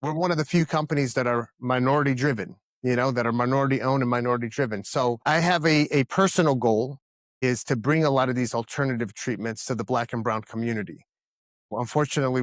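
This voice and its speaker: A man's voice: mid-range voice, dry recording. A man in his thirties from the Dominican Republic, answering interview questions in an earnest, emphatic way and often restarting his words. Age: 30s. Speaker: podcast guest, company founder.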